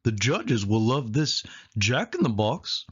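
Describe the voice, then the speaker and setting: A man's voice: medium pitch, very animated, slow, husky, dull audio, exaggerated voice. A man voicing a bumbling, good-natured male cartoon dog with a dopey manner for a children's storybook. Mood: dopey, enthusiastic.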